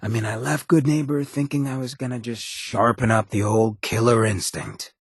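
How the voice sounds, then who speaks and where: An actor playing a roguish, sardonic but loyal ghoul outlaw in a video game, with a gravelly rasp and a cocky, laid-back street drawl. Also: male voice, mid-range voice, steady tone, moderate pace, no room sound, clean studio sound. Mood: sincere, warm. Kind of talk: video game companion dialogue.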